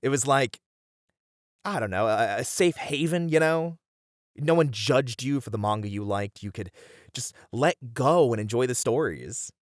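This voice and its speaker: A man's voice: medium pitch, a little slow, no echo, clean studio sound, close to an everyday voice. A man playing a friendly young bunny boy, a chatty and welcoming manga cafe regular, in a casual ASMR roleplay. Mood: fond, nostalgic. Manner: chatty, easygoing, welcoming, with casual slangy hesitations.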